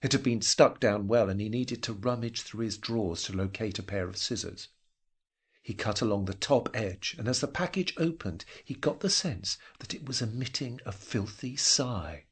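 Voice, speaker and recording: Husky, low-voiced man narrating a story. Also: normal speed. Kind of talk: scripted literary story narration.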